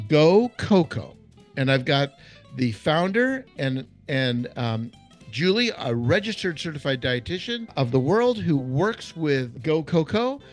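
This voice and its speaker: Man, podcast host, enthusiastic, very lively pitch, faint guitar behind. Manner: enthusiastic, exclamatory, salesy. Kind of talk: upbeat podcast hosting.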